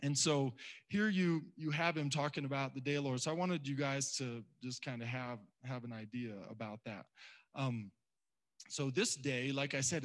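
Male pastor, casual sermon delivery to a church congregation, middle pitch. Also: a bit bright. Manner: conversational, acts out quoted voices.

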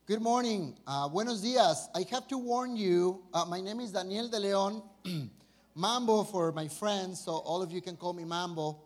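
High-voiced Mexican pastor, joking and informal. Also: male voice. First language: Spanish. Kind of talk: informal sermon.

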